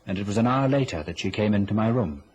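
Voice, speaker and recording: An actor playing a wary, questioning young man in an old radio drama, speaking in a restrained, measured manner. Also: on the low side, expressive, a little mellow, breathy edge, poor audio. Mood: reflective narration. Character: wary, questioning grown son of a domineering mother. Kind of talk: old-time radio drama acting and narration.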